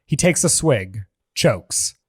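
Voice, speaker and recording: A man's voice: medium pitch, lively intonation, slow, breathy edge, no room sound. Man narrating screenplay directions, dry close sound.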